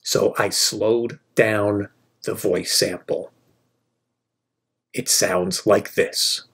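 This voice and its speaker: Slow, gravelly man narrating. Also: on the low side, fairly even intonation, a bit bright, a little hiss or hum. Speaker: video narrator. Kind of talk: scripted narration of a video game discovery.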